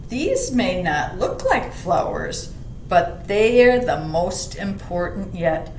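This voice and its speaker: Slow-paced woman reading aloud in an echoey room. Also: very animated. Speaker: library story reader. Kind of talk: read-aloud children's lesson with explanations. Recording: roomy echo, faint rumbling background noise.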